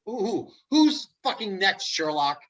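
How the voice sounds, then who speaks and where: Woman playing exasperated sceptic with husky voice. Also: mid-range voice, sing-song, measured pace, a bit bright, highs cut off. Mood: angry. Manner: indignant, sarcastic, incredulous. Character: Dave, exasperated, argumentative young man, the reluctant sceptic. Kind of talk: comic script table reading. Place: remote video call.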